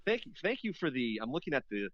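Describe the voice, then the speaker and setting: A man's voice: mid-range voice, big pitch swings, fast talker, a bit bright, dry recording. Man chatting reflectively, slightly dull sound. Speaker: radio host appearing as a podcast guest. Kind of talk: casual conversational interview.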